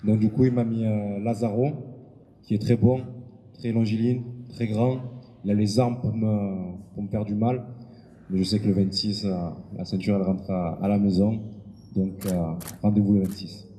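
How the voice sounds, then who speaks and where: A man speaking as a boxer at a press conference. He talks haltingly, with filler pauses. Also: fairly low pitch, fairly even intonation, mellow. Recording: long hall reverb with background noise.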